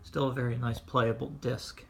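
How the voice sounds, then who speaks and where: A man hosting a casual hobby demonstration in his living room, explaining things in a measured, hesitant way. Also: medium pitch, little pitch movement, creaky, a little hiss or hum. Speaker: host, record hobbyist. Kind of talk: casual demonstration of vintage records. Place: indoors, living room at home.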